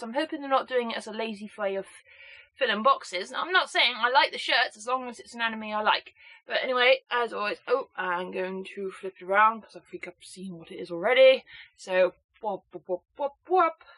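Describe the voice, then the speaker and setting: A woman with a snuffly cold, chatting excitably and rambling through an unboxing review. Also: a little high, normal speed, poor audio. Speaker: anime box reviewer.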